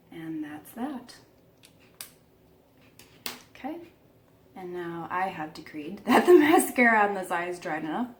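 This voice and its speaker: A woman giving a casual makeup tutorial, chatty and self-deprecating, a bit croaky today, filming herself at home. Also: fairly low pitch, a little hiss or hum. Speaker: beauty vlogger.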